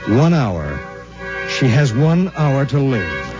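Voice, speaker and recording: Grim spaceship pilot, animated pitch swings. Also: male voice, takes it slowly. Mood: somber narrating. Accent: American. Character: grim, resolute male spaceship pilot, burdened and regretful. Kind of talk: 1950s radio drama acting with first-person narration. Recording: dull vintage radio recording with music.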